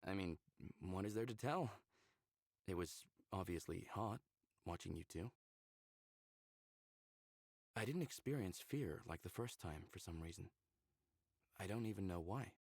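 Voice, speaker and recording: Visual novel human lead, candid and curious, casual flirty delivery, lowish gravelly voice, very dry sound. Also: male voice, lively intonation, unhurried, long pauses, darkish tone, no room sound, heavily acted. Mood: candid, teasing.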